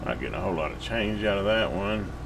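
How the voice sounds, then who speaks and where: A man's voice: low-pitched, very animated, fast, gravelly, background noise. A man casually reviewing a radio at his workbench, rambling with lots of fillers and restarts.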